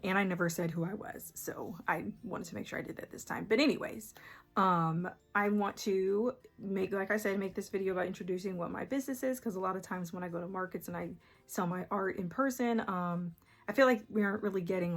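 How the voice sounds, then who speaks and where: A soft-spoken young woman with a very quiet voice, chatting casually about her art business and often trailing into fillers and restarts. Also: mid-range voice, creaky, slightly rough. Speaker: artist explaining her small art business. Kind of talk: casual rambling vlog.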